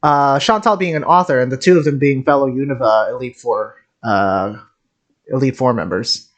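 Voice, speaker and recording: A host talking casually and a little haltingly, reacting to a video game as commentary. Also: medium pitch. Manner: halting, hesitant, giggly.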